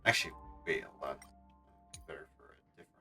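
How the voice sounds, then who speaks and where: A man's voice: a bass voice, expressive, fast talker, sharp tone. A man giving casual, wry live commentary as a game streamer, muttering to himself as he plays. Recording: faint game music behind.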